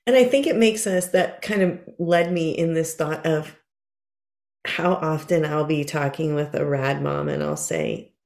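A woman's voice: low voice, measured pace, vocal fry, darkish tone, roomy sound. A woman in her fifties chatting casually, rambling and self-deprecating, acting out her own words when she quotes herself. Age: 50s. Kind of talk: casual chat.

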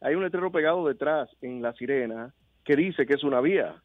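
Over a thin, narrow phone line, a man with a Dominican accent calls a radio show. He tells his story casually in a mid-pitched voice, with a dry sound. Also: expressive, fairly quick, no echo. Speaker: radio caller. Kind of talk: casual anecdote telling.

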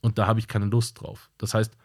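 A man's voice: lowish voice, completely flat delivery, moderate pace, slightly rough. A man hosting his own podcast, talking in a casual monologue that is blunt and direct and repeats points for emphasis. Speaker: podcast host.

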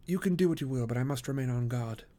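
A man voicing a brooding, aloof gentleman detective with a clipped, formal, opinionated way of talking. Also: mid-range voice, quick pace, pitched above the performer's own voice, close to an everyday voice. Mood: resolute.

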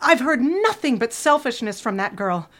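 A woman's voice: fairly high pitch, big pitch swings, normal speed, a bit bright. An actress playing a prim, fretful middle-aged mother who scolds like a martyr in an audio drama. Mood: scandalized.

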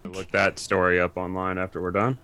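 A man's voice: low voice, monotone, fairly quick, bright, forceful tone, no room sound. A man chatting casually as a podcast guest, in a laid-back conversational way. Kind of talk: casual chat.

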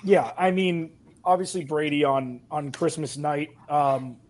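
A man's voice: fairly high pitch, fairly even intonation, unhurried. A man chatting casually about sports as a podcast guest, rambling with lots of "like" and "um".

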